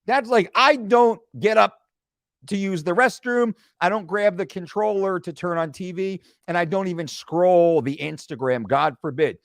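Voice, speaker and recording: A man's voice: sits high, normal speed, vocal fry, no echo, studio quality. A man in his forties talking through a screen-share fitness lesson, with joking asides. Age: 40s. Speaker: fitness coach.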